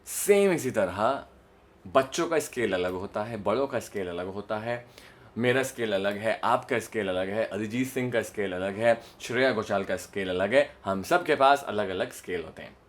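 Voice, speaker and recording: A man's voice: lowish voice, normal speed. A man with an Indian accent explains like a voice coach, stressing points and often checking that the listener follows. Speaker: voice modulation coach. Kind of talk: instructional explanation to camera with demonstrations.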